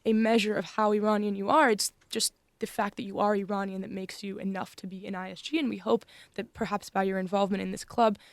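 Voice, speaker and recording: A woman's voice: mid-range voice, flat delivery, quick pace, few pauses, no room sound. Earnest young woman from Northern California. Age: young adult. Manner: earnest, with frequent restarts. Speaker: student club member. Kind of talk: conversational discussion.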